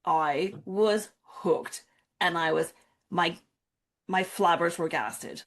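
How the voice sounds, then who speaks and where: A woman's voice: fairly low pitch, takes it slowly. A woman co-hosting a book club podcast, chatting casually and joking as she acts out her own reactions. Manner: animated storytelling with self-quotes, joking. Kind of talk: casual chat.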